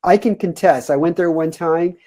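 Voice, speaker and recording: Man in his 30s, webinar host on a home video call, mellow tone, upbeat and chatty. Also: on the high side, moderate pace. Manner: upbeat, loose, many "uh" fillers. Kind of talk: casual webinar hosting. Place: home room, indoors.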